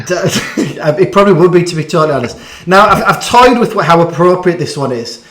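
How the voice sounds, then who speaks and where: A man's voice: sits high, expressive, normal speed, fairly bright tone. A man hosting a casual online interview, chatty and self-deprecating, stumbling over his words as he goes. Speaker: interviewer hosting a school bedtime story chat. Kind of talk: casual online question-and-answer chat. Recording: echoey room sound.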